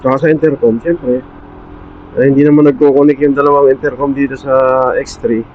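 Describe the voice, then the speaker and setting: A man's voice: medium pitch, fairly even intonation, a little fast. Filipino man on a muffled helmet intercom. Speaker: motorcycle vlogger. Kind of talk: casual vlog chat while riding. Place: outdoors on a motorcycle at night, in traffic. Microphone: helmet intercom mic under the visor. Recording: dull intercom mic with road noise. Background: faint vehicle and road noise.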